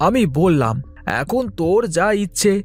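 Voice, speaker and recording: A man voices an outspoken village busybody from a cartoon. The voice is pitched high and very animated, familiar and insistent, over faint music. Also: normal speed. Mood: teasing, warning. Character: cautionary, gossipy village man, farmer's outspoken friend. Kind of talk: Bengali cartoon voice acting.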